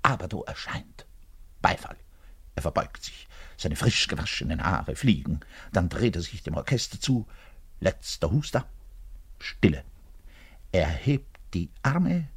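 Radio play lead: bitter, lovelorn male orchestra player, pompous and confessional, rough husky voice with very animated melody. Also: low voice, a little slow, pauses often, full character voice. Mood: hushed suspenseful storytelling. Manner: dramatic, swinging from hushed confiding to loud declaiming. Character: lovelorn, self-important, bitter orchestral double bass player. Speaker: actor in a radio play monologue. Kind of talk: theatrical radio play monologue, confiding in the listener.

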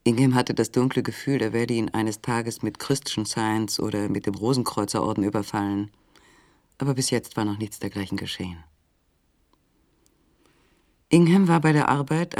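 An actor narrating a literary radio play in a measured, matter-of-fact manner. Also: male voice, medium pitch, a little mellow, no echo, clean studio sound, lightly acted. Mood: measured, detached.

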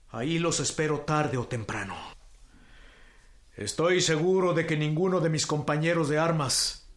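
A proud revolutionary facing execution in a radio play. His delivery is slow and solemn, with big dramatic swings in pitch and a defiant, declamatory manner. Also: fairly bright tone, echoey room, full character voice.

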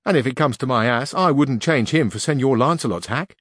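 A man's voice: mid-range voice, brisk, a bit bright, slightly rough, no echo, voice pushed up. A man voicing a simple, earthy male squire who talks back cheekily in an audiobook reading. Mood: stubborn.

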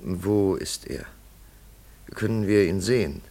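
An actor playing a thoughtful, probing investigator with a pondering, deliberate manner in a German radio play. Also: male voice, low-pitched, creaky, dark tone, full character voice. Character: thoughtful, probing male investigator, quietly certain.

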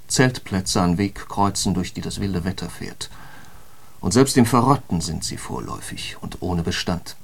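An actor playing a grave, literary narrator in a radio drama, with a measured and rhetorical delivery. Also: male voice, mid-range voice. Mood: grave, emphatic. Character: male narrator, grave literary guide to a harsh New York.

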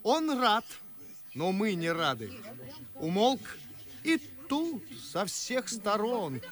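A fired up young gentleman ranting in verse, sarcastic, high voice, really animated, theatrical radio drama. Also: takes it slowly, full character voice. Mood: scornful, ironic. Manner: declamatory, impassioned, sarcastic. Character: indignant, eloquent young gentleman railing at imitation of foreigners.

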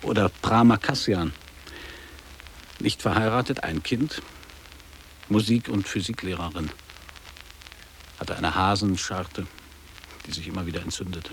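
Low male voice, fifties, nostalgic returning visitor, declamatory radio drama, clipped literary phrasing, noisy ambience. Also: measured pace, gravelly, pauses often. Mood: reminiscing. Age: 50s. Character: nostalgic man around fifty revisiting his old boarding school. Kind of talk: literary German radio play acting.

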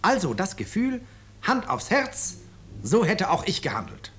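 An actor playing an urbane, ironic author who narrates his own story in a 1950s German radio drama, courteous and deliberate. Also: high-pitched, wildly swooping pitch, a bit bright, breathy edge, full character voice. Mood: suave, ironic.

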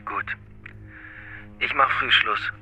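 An actor playing an evasive, weary, slightly tipsy police detective in a German radio drama. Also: male voice, medium pitch, takes it slowly, music in the background, lightly acted, low-quality recording. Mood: defensive.

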